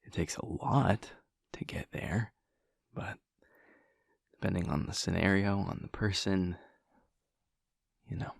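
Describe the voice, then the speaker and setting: A man playing a gentle, devoted vampire lover in an intimate audio roleplay, speaking in a breathy, husky hush with slow, halting, tender pauses, close to the microphone. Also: low-pitched, fairly even intonation, no room sound, heavily acted. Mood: hushed, thoughtful. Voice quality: breathy, husky, often whispering. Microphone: close microphone, dry.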